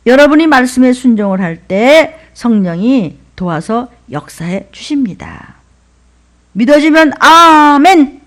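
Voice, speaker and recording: A middle-aged woman pastor with a Seoul accent, preaching a sermon in an emphatic, rhythmic way, dropping to whispers and rising to shouts. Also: a little high, vocal fry. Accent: Seoul dialect.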